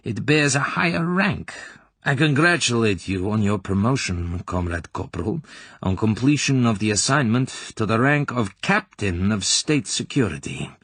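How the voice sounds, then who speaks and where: A man voicing a cold, domineering older female secret police colonel who talks in a smooth, commanding, falsely sweet way. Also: lowish voice, expressive, normal speed, fairly bright tone, no room sound, voice pushed up, heavily acted.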